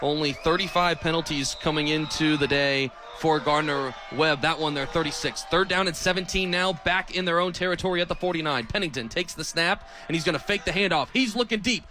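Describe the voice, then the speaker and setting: A man in his twenties with an American accent calling football play-by-play on the radio in an energetic, descriptive way. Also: fairly high pitch, brisk. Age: 20s.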